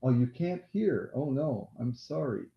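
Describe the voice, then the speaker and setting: Slow-talking man hosting a live quiz. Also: medium pitch, animated, creaky. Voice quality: loud voice. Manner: slow, repeats questions, apologetic asides. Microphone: laptop mic. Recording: echoey room, dull lo-fi laptop audio.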